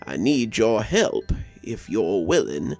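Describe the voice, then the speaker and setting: A man voicing a grave, watchful army sentry with a measured manner in an audio story. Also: on the low side, big pitch swings, creaky, a little mellow, soft background music, heavily acted. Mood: grave warning.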